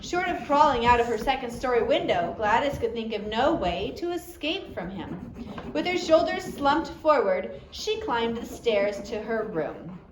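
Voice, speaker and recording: A woman's voice: on the high side, sing-song, a little slow. A woman narrating a story aloud as an audiobook reader. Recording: roomy echo, faint background noise.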